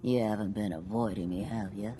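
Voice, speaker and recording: A wry old shopkeeper woman from a video game. She has a very deep voice for a woman and teases with tough familiarity, over a faint rumble. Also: steady tone, fairly quick, dark tone. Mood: teasing. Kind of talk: video game dialogue acting.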